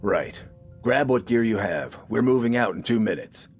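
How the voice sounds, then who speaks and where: Guy doing a bossy army corporal for an audio story, gruff n sarcastic, barks curt orders, low voice. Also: lively intonation, normal speed, faint music behind. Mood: stern, commanding.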